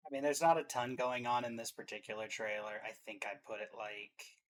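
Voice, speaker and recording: A man's voice: on the low side, a little fast, fairly bright tone. A man giving casual reaction commentary as a co-host, sounding like an enthusiastic game fan.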